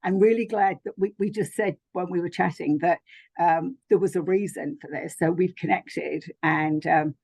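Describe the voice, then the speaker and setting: A woman host from England with a husky, low voice talks in a gentle, halting way. She encourages her guest with short replies. The sound is dry and close, as on a video call. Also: fairly even intonation, no room sound. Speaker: show host. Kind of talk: casual interview chat.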